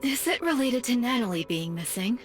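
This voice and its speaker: A woman's voice: a little high, expressive, brisk, soft background music, lightly acted. A woman playing a serious, composed character in an anime-style video game. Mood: concerned, composed. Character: serious, composed woman discussing missing-person cases.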